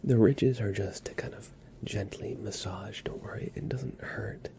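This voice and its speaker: Whispering man in a gentle ASMR roleplay. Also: on the low side, a little slow. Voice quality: whispered, breathy soft voice. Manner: gentle, soothing, reassuring instructions. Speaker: ASMR performer playing a doctor. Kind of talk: ASMR medical exam roleplay.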